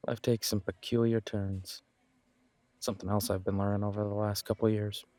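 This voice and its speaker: A man playing a supportive, self-deprecating best friend in a casual roleplay audio drama, speaking in an earnest, encouraging, conversational way with pauses. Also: lowish voice, little pitch movement, warm tone, dry recording, natural voice. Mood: wry, candid.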